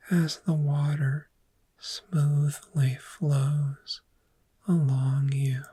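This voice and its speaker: A woman playing a calm, gentle dragon therapist in a soft-spoken ASMR roleplay, speaking in a breathy, soothing whisper with long pauses. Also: low-pitched, heavily acted.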